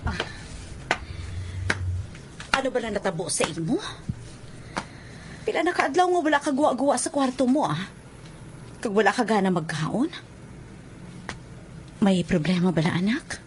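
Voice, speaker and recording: A woman's voice: medium pitch, sing-song, a little slow, long pauses, slight background noise. A concerned, fussing mother. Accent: Filipino, Hiligaynon. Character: concerned motherly woman fussing over her son. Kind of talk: radio drama acting.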